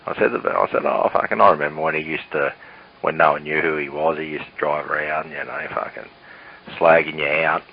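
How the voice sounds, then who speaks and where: A man's voice: very deep voice, steady tone, brisk, vocal fry. A man chatting casually over CB radio, blunt and sweary. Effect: CB radio transmission. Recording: muffled hissy CB radio transmission.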